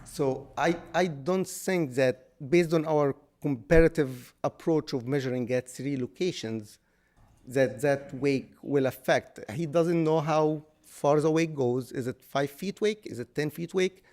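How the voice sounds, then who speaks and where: A man from Egypt, an engineering consultant, explaining technical findings at a public hearing in a loud voice with frequent restarts and fillers. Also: a little high, a little slow.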